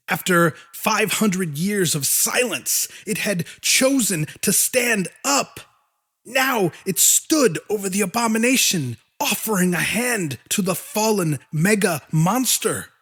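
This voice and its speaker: A man reading horror fiction as an audiobook narrator, in a deliberate, theatrical way. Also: high voice, a bit bright, breathy edge. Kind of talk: dramatic scripted narration of a horror story.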